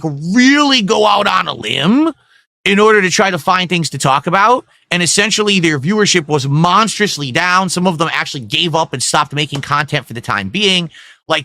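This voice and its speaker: Sharp-toned defiant male streamer. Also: fairly high pitch, no echo. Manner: defiant, rambling, sweary. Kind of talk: livestream talk to chat.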